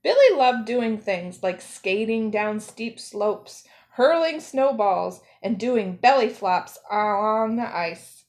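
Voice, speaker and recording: A woman reads a children's picture book aloud with expressive phrasing. Her voice sits a little high and she goes slowly, setting out each phrase with care for young listeners. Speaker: storyteller, zoo educator.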